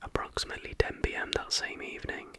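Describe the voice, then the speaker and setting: An ASMR host reading a scripted account in a whisper, with unhurried, deliberate phrasing.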